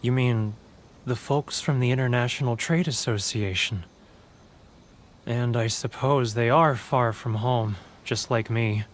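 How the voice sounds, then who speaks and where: A man's voice: medium pitch, unhurried, a little hiss or hum, close to an everyday voice. An actor playing a homesick, guilt-ridden male merchant in a game, mournful and prone to stammering. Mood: gloomy. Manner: mournful, stammering, self-pitying. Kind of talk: video game cutscene dialogue.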